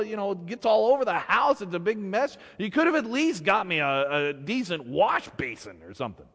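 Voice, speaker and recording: Folk-tale fisherman's wife played by a man: raised pitch, exasperated, scolding and demanding, ringing hall reverb. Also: a bit bright, full character voice.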